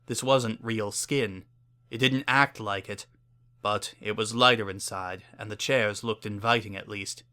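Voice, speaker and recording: A man narrating a scripted story as a dramatic reading, in a measured, solemn manner. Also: lowish voice, pushed and bright.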